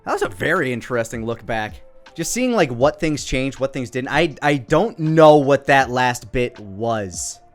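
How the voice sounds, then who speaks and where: A man's voice: mid-range voice, sing-song, measured pace, soft background music. A man giving casual, off-the-cuff commentary as a creator looking back on his own work. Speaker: creator reviewing own parody episode.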